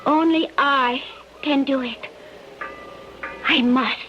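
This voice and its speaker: An actress playing a devoted, anguished and secretive woman in a radio drama, speaking in deliberate, formal phrases without contractions. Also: sits high, faint music behind. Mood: grave, determined. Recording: muffled vintage radio recording, faint noise.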